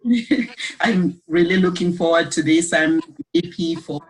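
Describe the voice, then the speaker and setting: A woman from Zimbabwe introducing herself on a video call webinar, pausing often with fillers. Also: lowish voice, slightly rough, poor audio. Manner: hesitant, filler-heavy. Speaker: panelist.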